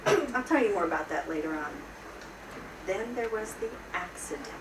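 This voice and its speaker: A woman reading a children's story aloud in an expressive storyteller's style, with theatrical pauses. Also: medium pitch. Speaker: storybook reader. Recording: roomy, distant mic, noisy background.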